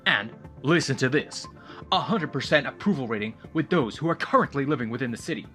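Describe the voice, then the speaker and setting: An actor playing an enthusiastic, polished television news reporter in an audio drama, with a promotional delivery. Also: male voice, medium pitch, lively intonation, a little fast, sharp tone, faint music behind. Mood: upbeat, announcing.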